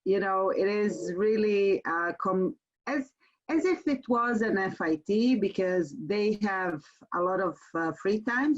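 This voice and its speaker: A woman from Croatia with a Croatian accent gives a webinar sales presentation as a tour company presenter, speaking haltingly with frequent pauses. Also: fairly low pitch. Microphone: online webinar call. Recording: muffled, band-limited webinar call audio.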